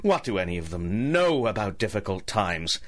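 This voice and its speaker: An actor playing an embittered, scheming ruined gentleman in a radio drama, with formal, theatrical speech. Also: on the low side, very animated, normal speed. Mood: bitter, scornful. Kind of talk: 1930s-set radio drama acting.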